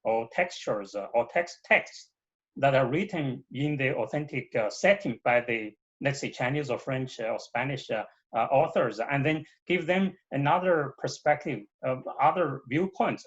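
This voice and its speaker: A man language teacher on a video call panel who speaks haltingly, with many uhs and restarts. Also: mid-range voice, lively intonation, a bit bright, lo-fi sound. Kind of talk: webinar panel discussion.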